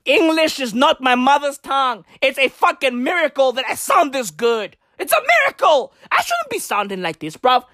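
Animated, profane woman from southern Africa. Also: sits high, moderate pace, hardly any pauses, dry recording, clean studio sound. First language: Tswana. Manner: profane, rambling, animated slang-heavy delivery. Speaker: comedian. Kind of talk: stand-up style comedic rant.

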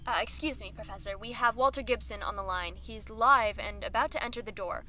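An actress playing a news anchor who asks polite, eager questions in a radio drama. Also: female voice, fairly high pitch, a little fast, bright, forceful tone. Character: female news anchor hosting a live broadcast.

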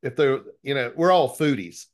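Male co-host, mid-pitched, relaxed and chatty. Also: moderate pace. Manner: easygoing, friendly questions. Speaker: podcast co-host. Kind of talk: casual interview chat.

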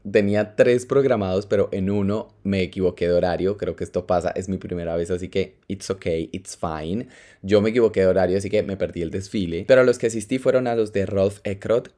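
A man hosting a solo podcast, telling his own story in an animated way and dropping in English phrases; his first language is Spanish. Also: sits low. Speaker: podcast host. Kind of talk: casual solo podcast monologue.

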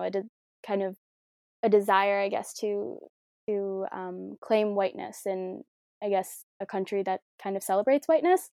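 A woman's voice: medium pitch, darkish tone, no room sound, clean studio sound. A young woman answering interview questions in a casual, reflective way, with many fillers and hedges. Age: young adult.

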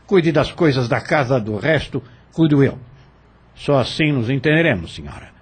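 An actor playing an arrogant, menacing plantation overseer in a radio drama, sneering and domineering, with a husky voice. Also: male voice, medium pitch, lively intonation, moderate pace. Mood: threatening.

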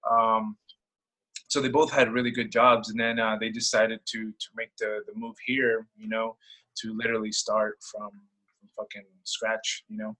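A man's voice: on the low side, monotone, normal speed, bright, forceful tone, poor audio. A young man from El Salvador talking casually and personally in conversation, searching for words and repeating himself as he goes. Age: young adult.

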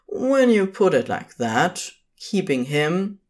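Slow, expressive fiction narrator. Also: medium pitch.

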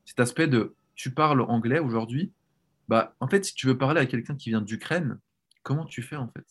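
A man talking informally as a guest on a chat podcast. His voice sits at a middling pitch. He speaks with animated energy and repeats words as he restarts his thoughts. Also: moderate pace, slightly rough. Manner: animated, frequent restarts and "tu vois". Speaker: language coach, podcast guest. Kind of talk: casual podcast conversation.

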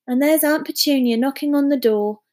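A woman's voice: sits high, a little fast, darkish tone, pitched above the performer's own voice, natural voice. A woman voicing an unsure, wondering young boy in a storybook, speaking hesitantly and full of questions. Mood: gloomy, mumbling.

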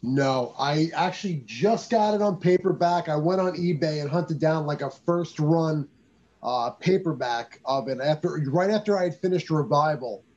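A man's voice: fairly high pitch, lively intonation, moderate pace, a little mellow. A man co-hosting a casual movie podcast, eager and chatty. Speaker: podcast co-host. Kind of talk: casual chat. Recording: dull lo-fi sound.

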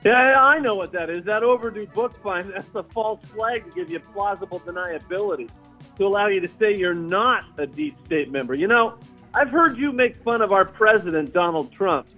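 A man's voice: high voice, expressive, normal speed, pushed and bright, soft background music. An actor playing an indignant, suspicious man calling a radio comedy show, insistent and aggrieved, over a dull phone call. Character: indignant, suspicious male conspiracy-believing phone caller.

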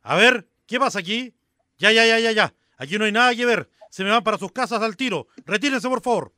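A man playing a bossy officer in a radio drama, commanding and brisk, with a Chilean accent. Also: high-pitched, animated, pushed and bright. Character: brisk, bossy male officer dispersing onlookers.

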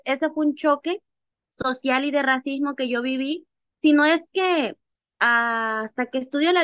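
Higher-pitched woman with a Costa Chica accent. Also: dry recording. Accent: Costa Chica Mexican Spanish. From Costa Chica, Mexico. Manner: animated, acts out remembered dialogue. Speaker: interview guest, activist. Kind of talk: personal storytelling in conversation.

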